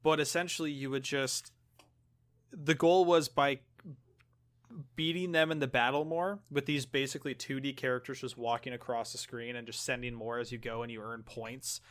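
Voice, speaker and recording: A man with a middling pitch talks as a podcast co-host. His delivery is casual and conversational, wandering through explanations as if among friends. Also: steady tone, normal speed, a bit bright. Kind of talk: casual gaming chat.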